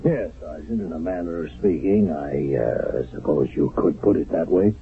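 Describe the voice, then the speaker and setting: An actor playing a stern, skeptical wartime army captain in a radio drama, commanding, clipped and sardonic. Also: male voice, rumbling low, normal speed, gravelly. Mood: grave, measured. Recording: narrow, phone-like vintage radio broadcast.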